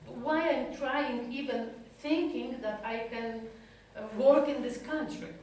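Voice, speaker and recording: European woman therapist telling her story, slow, searching for words, lots of room echo, faint hiss behind. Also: high-pitched, a little mellow. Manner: halting, searching for words in a second language. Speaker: psychotherapist giving a talk. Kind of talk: personal talk to an audience. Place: talk room at an institute. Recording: echoey room from a distant mic.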